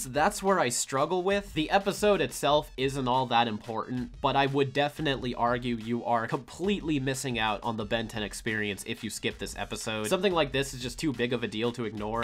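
A man in his twenties with a mid-pitched voice hosts a review show. He speaks conversationally to the camera, explaining his opinions and stressing his points. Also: a bit bright. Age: 20s. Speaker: host of a cartoon review show. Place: small bedroom studio indoors.

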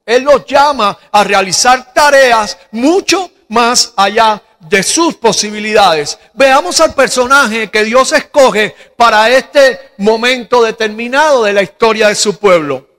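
A middle-aged Cuban pastor preaching in a very high, bright voice at a slow, measured pace. He talks into a handheld mic and leans on rhetorical questions. The large church hall adds a long reverb behind him. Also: lively intonation. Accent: Cuban Spanish. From Cuba. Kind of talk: sermon.

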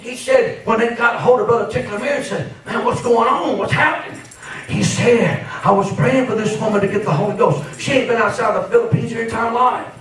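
A man's voice: extremely high pitch, fairly quick, a bit bright, faint music behind. A man in his fifties preaching a Pentecostal sermon in a fervent, emphatic way, punctuated with amens. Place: church sanctuary, at and around pulpit. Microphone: handheld microphone. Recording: very echoey church hall, from far off.

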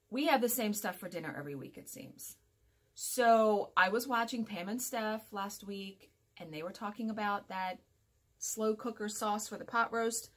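Woman, forties, home vlogger. Mid-pitched, informal, talkative and emphatic, wanders and self-corrects. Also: pushed and bright. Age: 40s. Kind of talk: casual chat to viewers. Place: small furnished room at home, indoors.

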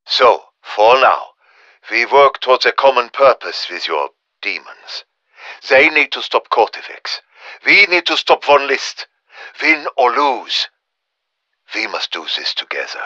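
An earnest scholar of the occult for a video game. His voice swings widely in pitch and has a forceful, bright edge. He speaks in formal, precise phrases, recorded close and dry. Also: no echo, muffled recording. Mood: grave, determined. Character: earnest, erudite German professor of demonology, embattled ally. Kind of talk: dramatic game voice acting, radio briefing monologue.

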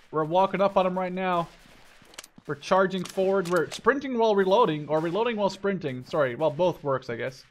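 A man with a slightly high voice gives casual gameplay commentary as a gaming video host. He thinks out loud as he plays, with some game gunfire and clicking behind him. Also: a little hiss or hum. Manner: thinks aloud, mild swearing.

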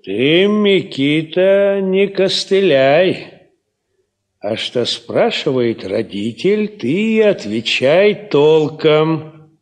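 An actor playing a well-off peasant master in a Soviet radio play, deliberate, stern and admonishing. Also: male voice, fairly high pitch, animated.

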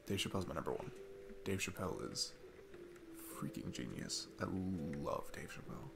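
A man playing a sweet, chatty dad on a date in an ASMR roleplay, rambling enthusiastically with halting restarts. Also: rumbling low, very animated, creaky, noisy background, full character voice. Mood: earnest, admiring. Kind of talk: ASMR audio roleplay, casual rambling talk.

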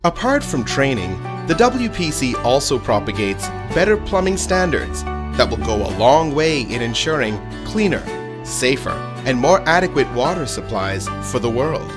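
A man's voice: medium pitch, sing-song, moderate pace. A man reading scripted documentary narration in a solemn, emphatic manner. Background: music.

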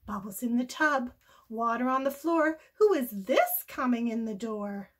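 An older woman reads a picture book aloud for young children. Her voice is high and bright, and she takes it very slowly. The phrasing is deliberate, expressive and sing-song. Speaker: storybook read-aloud host. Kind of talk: animated children's story reading.

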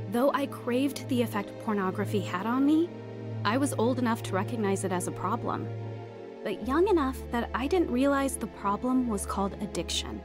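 A woman's voice: fairly high pitch, natural voice. An actress playing a rebellious, guarded teenage girl who tells her own story in a radio drama. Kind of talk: dramatized first-person narration, radio drama. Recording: music behind the voice.

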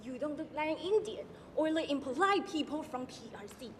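Young woman as nosy older auntie, Singlish accent, very high lively voice, echoey hall. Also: fairly quick, a little hiss or hum. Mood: chatty. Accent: Singaporean English, put on. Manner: brash, Singlish tags, scolding then friendly. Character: pushy chatty Singaporean auntie, older woman. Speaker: student performer. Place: large auditorium stage. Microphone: stage floor mics from afar. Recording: hall reverb from far off.

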